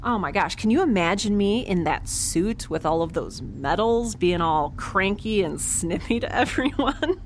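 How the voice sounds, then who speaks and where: A woman's voice: medium pitch, expressive, a little slow, hardly any pauses, a little hiss or hum, lightly acted. A woman playing a quirky, nervous scientist aboard a spaceship in an audio drama, rambling with sarcastic, self-deprecating humor. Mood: amused, incredulous. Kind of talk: conversational audio drama acting.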